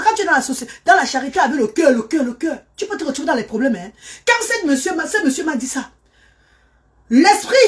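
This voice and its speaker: Fervent high-voiced woman. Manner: fervent, repetitive, pleading. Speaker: mother and charity worker. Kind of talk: emotional personal testimony.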